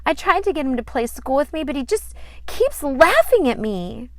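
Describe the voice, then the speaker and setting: A woman's voice: high voice, sing-song, moderate pace, no echo, pitched above the performer's own voice. A woman voicing an easily upset little girl in a storybook, whining and snappy. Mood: whiny, indignant.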